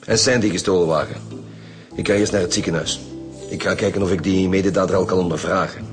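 A gruff, low-voiced Flemish police chief. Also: male voice, steady tone, quick pace, music in the background. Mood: stern, insistent. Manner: curt, authoritative. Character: gruff, commanding male chief police detective. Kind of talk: radio drama acting.

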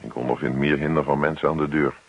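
An actor playing a grumpy, self-pitying husband in a radio play, muttering to himself in a hesitant, put-upon way. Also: male voice, rumbling low, steady tone, fast talker, vocal fry, husky, heavily acted. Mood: put-upon, evasive. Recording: dull lo-fi radio play recording.

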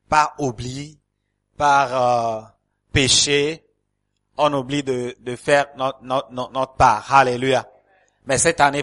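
A man's voice: mid-range voice, a bit bright. A male pastor preaching a sermon to a congregation in a church hall. He speaks French as a second language, with fillers and repeated words, and breaks up his phrases with calls of alleluia.

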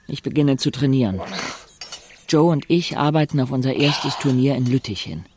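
Ein Mann als knarziger, trockener junger Erzähler. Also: male voice, medium pitch, moderate pace, background noise, close to an everyday voice. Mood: dry, reflective. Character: Fransje, wry young wheelchair-bound male narrator from a village. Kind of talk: first-person radio drama narration.

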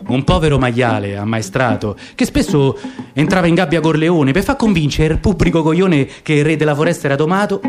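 A man's voice: a little high, brisk. A man with a Roman accent narrating a verse fable for radio theatre. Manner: lively storytelling. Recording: guitar music behind.

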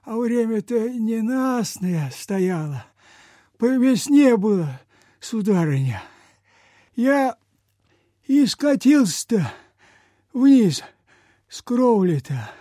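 An actor playing a frail, meek, sick old peasant in radio theatre, with humble, halting, sighing, breathless folk speech. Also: male voice, sits high, big pitch swings, dark tone, no room sound, clean studio sound, exaggerated voice. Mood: plaintive, weary. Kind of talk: mid-20th-century radio theatre acting.

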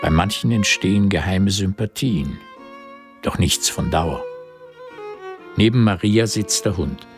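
Male narrator for literary audio drama, deep register, measured scripted delivery, faint music behind. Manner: measured, terse present-tense storytelling.

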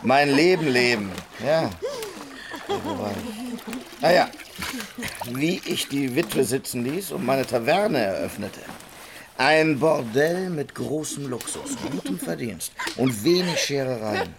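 An actor in a radio drama playing a boastful, lewd Dutch brothel keeper who tells stories in a theatrical, bragging way. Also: male voice, medium pitch, big pitch swings, slow pace, crowd noise. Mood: self-satisfied, bragging. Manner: theatrical, bragging, chuckling storyteller.

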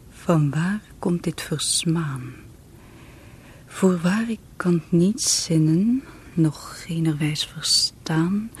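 An actor playing a lovesick poet in a radio play, whispering slow, pleading verse to his beloved. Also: a little high, slight background noise. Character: grief-stricken young male poet pleading with his beloved.

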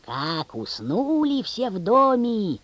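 A woman's voice: mid-range voice, big pitch swings, slow pace, no room sound. A woman narrating a fairy-tale audio play in a theatrical, folksy storyteller's dialect. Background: music.

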